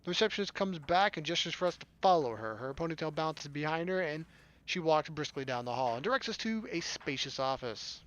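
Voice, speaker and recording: Animated man doing game playthrough commentary. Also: fairly high pitch, fairly quick, lo-fi sound. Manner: joking asides, casual. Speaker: gaming video host. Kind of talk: playthrough commentary and reading game text aloud.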